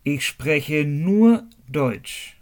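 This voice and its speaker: A man from Germany, teaching a language lesson slowly, spelling words out letter by letter and repeating phrases. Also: on the high side, animated, darkish tone.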